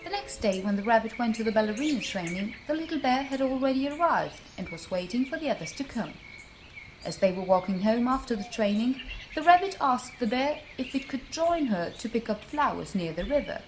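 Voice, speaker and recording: A woman storyteller narrating a scripted bedtime tale for children in an expressive manner. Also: fairly high pitch, fairly quick, background noise.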